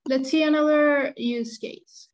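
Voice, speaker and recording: Brief: woman, slightly high voice, reading a project pitch on a video call. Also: fairly even intonation, a little slow. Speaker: project presenter. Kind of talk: online conference pitch.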